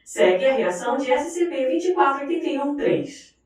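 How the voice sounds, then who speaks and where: A woman's high voice rings out through heavy echo. She reads scripted report narration formally and impersonally, like an official announcement, sounding set well back from the mic. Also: animated, brisk, a little mellow, far from the mic. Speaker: document narrator.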